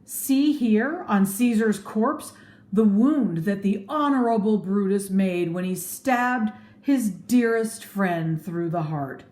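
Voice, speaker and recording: A woman's voice: medium pitch, lively intonation, slow, darkish tone. A woman voicing a bold, grieving Roman man addressing listeners in a theatrical storybook reading. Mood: mournful, pointed. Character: Mark Antony, bold grieving Roman man, rousing orator. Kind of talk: storybook read-aloud with theatrical oratory. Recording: echoey room.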